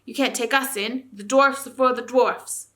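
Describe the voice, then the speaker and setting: A woman's voice: a little high, fairly even intonation, normal speed, pushed and bright, pitched above the performer's own voice. A woman voicing a gang of scornful male dwarfs, sneering and taunting. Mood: jeering.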